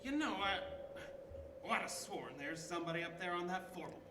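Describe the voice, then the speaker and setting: A man's voice: high-pitched, expressive, moderate pace. An actor playing a jittery, weary oil-field geologist in a horror audio drama, hesitant and repeating his words. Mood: nervous, hushed. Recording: noisy track with ambient sound.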